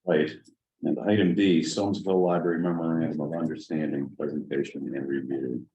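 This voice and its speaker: A man chairing a town selectboard meeting, reading agenda items in a brisk, procedural way. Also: a bass voice, steady tone, mellow, slight background noise. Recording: roomy lo-fi meeting recording.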